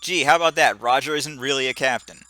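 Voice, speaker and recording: A man's voice: medium pitch, quick pace, bright, forceful tone, soft background music, rough recording. A man playing a video game, making sarcastic remarks and reading the game text aloud, flubbing words now and then. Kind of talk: casual gameplay commentary and reading game text.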